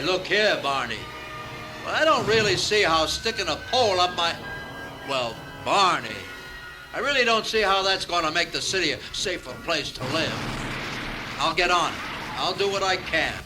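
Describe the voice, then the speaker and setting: Guy doing rambly middle aged police chief, bit high pitched, radio comedy, music under it. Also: sing-song, normal speed, rough recording. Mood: flustered, grumbling. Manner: rambling, absent-minded, dramatic. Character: distracted, bumbling middle-aged police chief with novelist pretensions. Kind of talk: comic radio theater acting.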